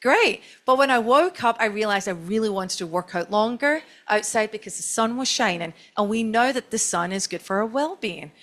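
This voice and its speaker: A middle-aged woman with a Scottish accent speaks at a stage panel, chatty and self-deprecating as she tells a long personal story. Her voice sits at a middle pitch. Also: expressive, moderate pace, hardly any pauses, fairly bright tone. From Scotland. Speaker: chief marketing officer on a panel.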